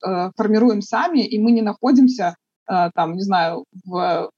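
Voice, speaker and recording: A woman from Russia answering interview questions in a thoughtful, halting way, with many fillers and restarts. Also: medium pitch, warm tone, breathy edge, dry recording, dull audio.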